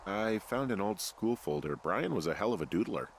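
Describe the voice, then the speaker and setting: An actor playing a wry, easygoing fire lookout in a video game, talking in a dry, self-deprecating, casual way. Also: male voice, lowish voice, animated, normal speed, slight background noise. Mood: casual, curious. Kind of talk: naturalistic video game dialogue.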